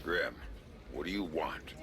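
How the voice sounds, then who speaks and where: A man playing a middle-aged ex-spy in a video game, with a gruff, gravelly voice. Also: rumbling low, a little slow. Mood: gruff, wary. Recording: echoey, noisy game scene ambience.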